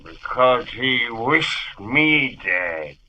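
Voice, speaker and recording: A man's voice: mid-range voice, big pitch swings, very slow, sharp tone, heavily acted. An actor playing a murderous, evil man-monster in an old radio horror drama, theatrical and menacing. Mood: menacing, gloating. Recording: dull, noisy old radio recording.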